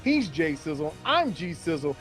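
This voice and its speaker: Playful man co-hosting, higher voice over music. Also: sing-song, moderate pace, a bit bright, rough recording. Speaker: sports show co-host. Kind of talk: casual sports banter.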